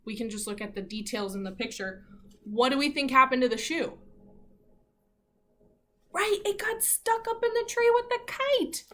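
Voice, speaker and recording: Woman teacher doing a read aloud for little kids, highish voice, lots of questions, expressive reading. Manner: asks questions and pauses as if hearing answers. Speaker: elementary school teacher. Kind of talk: interactive read-aloud lesson for young children.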